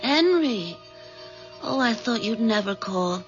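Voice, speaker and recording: A woman's voice: fairly high pitch, lively intonation, slow pace. An actress in a 1970s radio drama playing a married man's eager, pushy mistress. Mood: eager, urgent. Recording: dull vintage radio recording, faint music.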